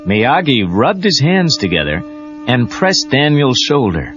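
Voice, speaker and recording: A muffled cassette recording with music behind a male narrator. His voice is fairly low and very animated as he reads a children's story. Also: measured pace. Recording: dull lo-fi cassette tape.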